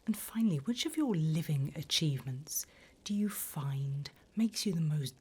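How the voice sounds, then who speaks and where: A woman playing a courteous, sinister demon interviewer in a slow, breathy whisper for an ASMR roleplay. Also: deep voice, lively intonation, full character voice. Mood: politely sinister. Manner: slow, deliberate, courteous, softly menacing. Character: infernal demon interviewer, politely sinister, delighted by wickedness.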